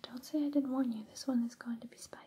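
A woman hosting an ASMR personal-attention roleplay in a breathy, soothing whisper, intimate and unhurried, close on a binaural microphone. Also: high-pitched, fairly even intonation, warm tone. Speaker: ASMR host.

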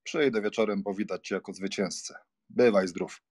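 A man's voice: fairly low pitch, moderate pace, a little mellow, dry recording, studio quality, close to an everyday voice. A man playing a nonchalant, teasing young baron, a jaunty friend, in an audiobook drama.

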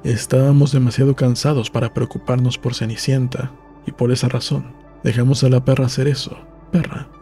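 A man reading a novel aloud as an audiobook narrator, in a calm, measured storytelling manner. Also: medium pitch, faint music behind.

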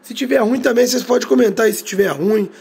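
A man with a Rio de Janeiro accent, hoarse from a cold, giving hyped slangy commentary over a bus game, each phrase ending on a low quiet tag word. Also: fast talker. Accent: Brazilian Portuguese, Rio de Janeiro. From Brazil. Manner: slangy, drags out catchphrases, tag words drop low and quiet. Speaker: game streamer.

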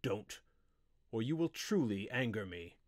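A man's voice: a little high, big pitch swings, moderate pace, dry recording, studio quality. A man voicing a cold, domineering wizard teacher in an audiobook, with a commanding, imperious delivery. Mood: cold, menacing. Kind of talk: audiobook character voice.

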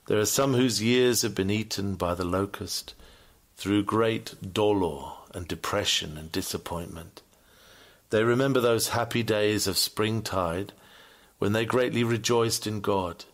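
Low-pitched man reading a sermon. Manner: earnest, formal, oratorical. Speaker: reader of a classic sermon. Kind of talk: scripted sermon reading, preacher-like delivery.

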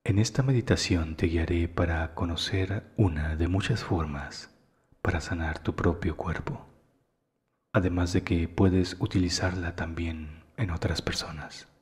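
A man narrating a guided meditation in a calm, soothing, unhurried manner, with phrases that often trail into breathiness. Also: sits low, in a large hall.

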